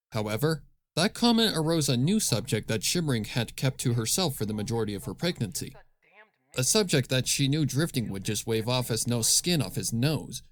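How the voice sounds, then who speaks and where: Man narrating a story, medium pitch. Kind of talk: scripted fan fiction story narration.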